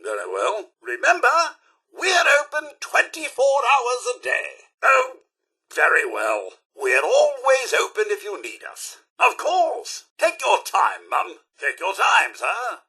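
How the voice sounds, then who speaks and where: A man's voice: extremely high pitch, huge pitch swings, slow pace, pushed and bright, slightly rough, heavily acted. A male actor voicing a prim, fussy robot butler in a video game, with a posh British accent and a theatrical, very polite manner. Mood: chipper, obliging. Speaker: companion character in a video game. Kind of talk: video game voice acting, short dialogue lines.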